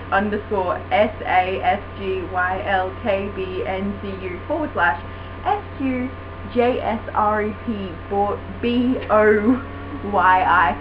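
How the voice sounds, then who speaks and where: A young woman joking around with a friend, playful and teasing. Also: mid-range voice. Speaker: vlogger's friend. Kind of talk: casual chat. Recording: muffled webcam audio with mains hum.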